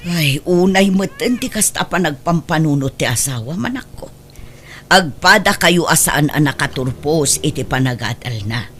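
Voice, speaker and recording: A woman's voice: low voice, expressive, normal speed, a bit bright, a little hiss or hum. An actress with a Filipino accent playing an older, worried mother and grandmother who scolds and laments in a radio drama. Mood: upset, lamenting. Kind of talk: Ilocano radio drama acting.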